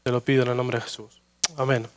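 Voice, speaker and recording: A man's voice: medium pitch, level tone, quick pace. A man from Spain with a Madrid accent, preaching a sermon in a church and telling anecdotes with self-deprecating humor. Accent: Madrid Spanish. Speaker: guest preacher. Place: church sanctuary.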